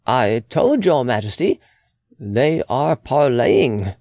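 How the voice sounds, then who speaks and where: A man's voice: mid-range voice, very animated, slow pace, darkish tone, no room sound, clean studio sound. A man voicing a formal, deferential but insistent lawyer and court official in a dramatic audiobook. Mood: defensive. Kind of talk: dramatic audiobook character reading.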